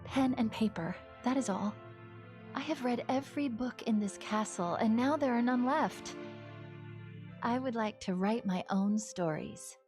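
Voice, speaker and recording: Woman acting a wistful, slow-speaking sheltered princess. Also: fairly high pitch, lightly acted. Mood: polite, hopeful. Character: sheltered, wistful sixteen-year-old princess in a tower. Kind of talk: animated fairy tale acting. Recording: music behind the voice.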